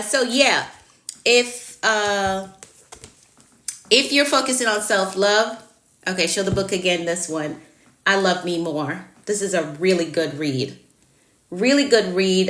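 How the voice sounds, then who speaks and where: A woman's voice: mid-range voice, bright, forceful tone, roomy sound. A woman in her late thirties with a Jamaican accent, talking casually and directly as a coach on a live stream. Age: 30s. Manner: chatty, direct, teacherly. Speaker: productivity coach.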